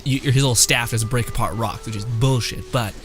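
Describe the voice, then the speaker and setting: A man's voice: mid-range voice, fairly even intonation, a little fast. A young man doing casual, joking gameplay commentary, rambling and sarcastic with some swearing. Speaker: game streamer. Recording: game music behind voice.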